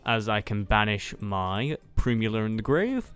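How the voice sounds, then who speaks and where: Wry, lower-pitched duelist played by a man, lively intonation. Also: unhurried, smooth. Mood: musing, mildly annoyed. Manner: hesitant, thinking aloud, dryly offhand. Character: casual, wry male card duelist. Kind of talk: improvised Minecraft anime roleplay. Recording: music behind the voice.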